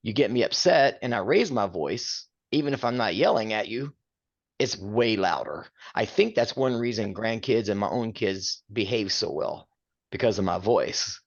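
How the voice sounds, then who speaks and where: A man's voice: lowish voice, animated, normal speed, dull audio. An older man with a big, carrying voice, co-hosting a casual chat and speaking emphatically.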